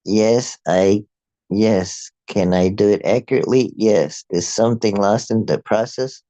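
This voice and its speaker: A man with an Afrikaans accent, a native Afrikaans speaker, reading a prepared commentary aloud in a measured, halting way. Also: on the low side, mellow, no echo. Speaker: video host.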